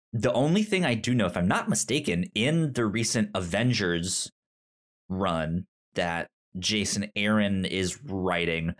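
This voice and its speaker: Slow-paced man in casual geeky chat. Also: lowish voice. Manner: halting, repeats and rephrases, geeky enthusiasm. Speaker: podcast co-host. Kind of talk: casual chat about comics and shows.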